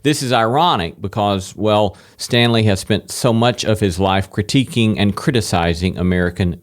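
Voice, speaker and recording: A man hosting a show, telling prepared stories and introductions in a wry, deliberate way. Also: lowish voice. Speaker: show host introducing a guest. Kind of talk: prepared storytelling introduction before an audience.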